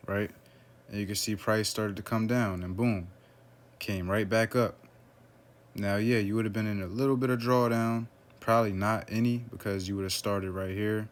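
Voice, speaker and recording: A man walks through a trading chart in a relaxed, offhand way, often pausing on "um" and "right?". His voice sits low and stays flat, with little rise or fall. A faint hum of background noise runs under the recording. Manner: relaxed, loose, lots of fillers like "um" and "right?". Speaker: forex trader analyzing a chart. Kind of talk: casual screen-share trading walkthrough.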